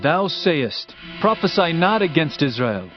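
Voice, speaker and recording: A rather high voice for a fervent herdsman prophet. He declaims solemnly in a dramatized scripture style. The sound is a little dull, with faint music beneath. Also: sing-song, unhurried. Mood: fervent, defiant. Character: Amos, earnest herdsman prophet, pleading and warning.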